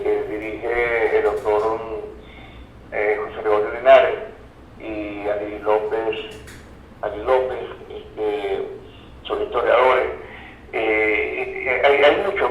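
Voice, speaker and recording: A man explaining ideas as an academic interview guest, halting and repeating words as he searches for phrases. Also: medium pitch. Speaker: interview guest, university professor and researcher. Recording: roomy, distant, dull sound with faint noise.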